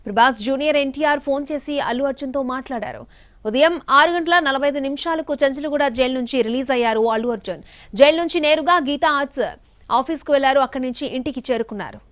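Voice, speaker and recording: A woman's voice: high-pitched, fast talker, fairly bright tone. A woman narrating a news report in a scripted voice-over style.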